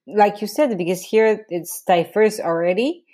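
A woman's voice: mid-range voice, measured pace, warm tone. A woman interviewing a friend in a casual chat, asking curious questions and agreeing along. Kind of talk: casual conversation.

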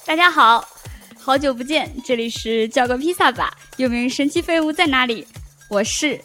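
A woman's voice: high voice, moderate pace, pushed and bright, soft background music. A woman co-hosting a podcast in casual chat, talking in a chatty, self-deprecating way. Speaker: podcast co-host.

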